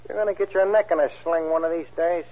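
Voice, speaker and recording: An actor as a high-pitched, skeptical sidekick. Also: male voice, steady tone, fast talker, natural voice. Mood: skeptical, warning. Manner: slangy, skeptical. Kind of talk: 1930s radio drama acting. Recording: tinny, narrow-band vintage radio recording.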